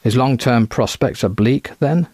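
Man as a courteous, formal gentleman investigator. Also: mid-range voice, a little slow, breathy edge, no echo. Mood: polite, probing. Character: polite, genial gentleman investigator, formal and measured.

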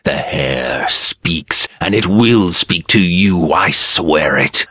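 A cruel nobleman who gloats and taunts in a deep, unhurried voice, as a male narrator puts him on. His menace comes out cheerful and drawn-out, each threat savored slowly. It is heard close and dry, in a storybook reading. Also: expressive, pushed and bright, breathy edge, dry recording, heavily acted. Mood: gloating.

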